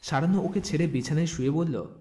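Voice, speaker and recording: A man's voice: on the high side, brisk, dark tone. A man narrating a scripted romantic audio story.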